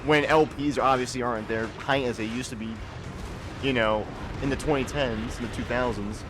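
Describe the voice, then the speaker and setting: A man's voice: medium pitch, fairly even intonation, normal speed, background noise. A young man chatting casually while streaming a video game, rambling and often stuttering over the starts of his sentences. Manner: rambling, repeats words when starting sentences. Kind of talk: casual live-stream chat.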